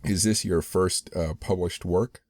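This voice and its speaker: A man's voice: deep voice, steady tone, a little slow, a little mellow, no echo, clean studio sound. A man hosting an interview, asking long rambling questions and saying hmm often. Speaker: interviewer host. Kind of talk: interview conversation.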